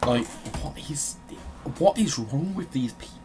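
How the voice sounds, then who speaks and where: A man's voice: on the high side, animated, a little slow, darkish tone. A man giving casual video game commentary, swearing and grumbling jokily as he plays. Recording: clearly noisy background.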